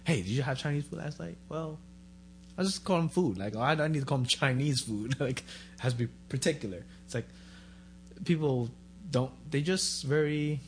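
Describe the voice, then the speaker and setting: Man, mid-pitched, relaxed and joking, faint hum behind him. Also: normal speed. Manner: relaxed and joking, drops his voice low in asides. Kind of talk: casual chat.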